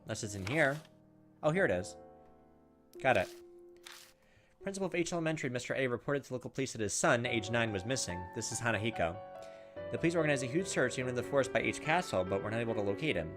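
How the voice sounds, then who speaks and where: A man with a medium-pitched voice plays through a game for his viewers. He reads the text aloud and drops flippant asides between passages. Game music plays clearly behind him. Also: animated, fast, pauses often. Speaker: gaming video host. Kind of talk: let's-play commentary mixed with reading game text aloud. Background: video game music.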